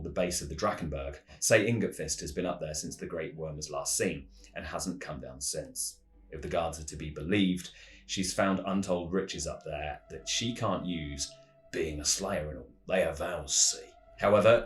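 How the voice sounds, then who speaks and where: A man hosting a tabletop roleplaying channel, giving scripted explanations in a measured, storyteller-like way. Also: deep voice, echoey room.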